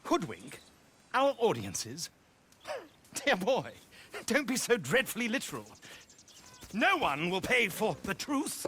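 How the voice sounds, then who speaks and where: A man's voice: high-pitched, huge pitch swings, takes it slowly, long pauses, pushed and bright, slight background noise, full character voice. A man voicing a flamboyant British circus showman in a video game, with grandiloquent, theatrical, plummy delivery. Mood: grand, persuasive. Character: flamboyant male circus showman calling himself Margaret, theatrical con artist. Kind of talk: video game cutscene acting.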